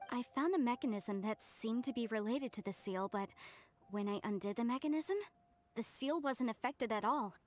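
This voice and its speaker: An actress playing an earnest young researcher of ancient ruins in a video game, explaining things. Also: female voice, a little high, lightly acted. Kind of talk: English video game voice acting.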